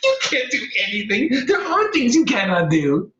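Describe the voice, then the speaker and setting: Story figure: tiny female chipmunk trickster, squeaky and cackling, played by a man, boomy room sound. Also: big pitch swings, brisk, bright, forceful tone, reverberant, muffled recording, soft background music, heavily acted. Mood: mocking glee. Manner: taunting, shrill laughter.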